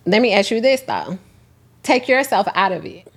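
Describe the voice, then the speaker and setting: A woman's voice: medium pitch, big pitch swings, moderate pace, husky. A woman hosting a casual chat, talking bluntly and pressing others with questions.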